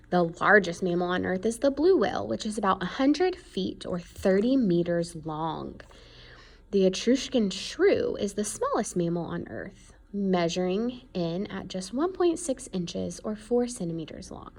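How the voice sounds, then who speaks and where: A woman's voice: medium pitch, gravelly, a little mellow. A woman reading an educational children's book aloud in an explanatory way, stressing key words. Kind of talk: read-aloud educational narration.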